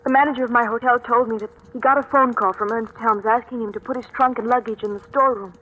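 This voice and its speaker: A woman's voice: on the high side, fairly even intonation, fast talker, bright, forceful tone. A woman playing an anxious, pleading young woman in a 1940s radio drama, earnest and melodramatic. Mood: frightened. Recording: dull vintage radio recording.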